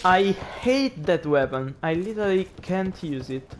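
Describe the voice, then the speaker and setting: Young Italian-accented male streamer, unhurried game commentary over a noisy home mic. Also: high voice, lively intonation. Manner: chatty, reacts aloud to the game, apologizes for pronunciation. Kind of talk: gameplay commentary.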